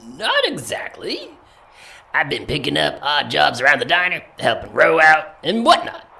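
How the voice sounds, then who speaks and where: A man's voice: fairly high pitch, wildly swooping pitch, normal speed, creaky, pushed and bright, exaggerated voice. A man playing an easygoing, folksy drifter in an audio drama, rambling along. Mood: sheepish. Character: folksy, easygoing drifter and diner odd-jobber.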